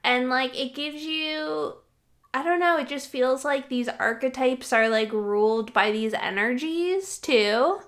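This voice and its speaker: A woman's voice: high voice, slow pace, a bit bright. A woman hosting a podcast, chatty and bubbly, with a slight cold. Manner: bubbly, chatty, lots of likes and ums. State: has a cold. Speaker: podcast host. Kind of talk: casual podcast chat.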